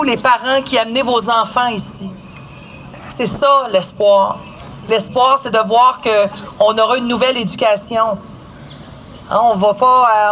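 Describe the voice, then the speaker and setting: A woman's voice: a little high, fairly bright tone, slight background noise. A woman with a Quebec French accent making an earnest, emphatic public speech at an outdoor event. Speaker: guest speaker at a public celebration. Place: outdoor event at an old port.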